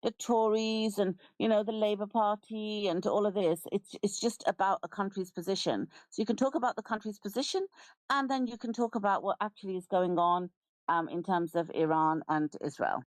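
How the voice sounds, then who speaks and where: A woman's voice: medium pitch, moderate pace, no room sound, poor audio. A middle-aged British woman hosting a live audio space, lecturing and scolding a speaker in a heated debate. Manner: forceful, lecturing, interrupts and scolds.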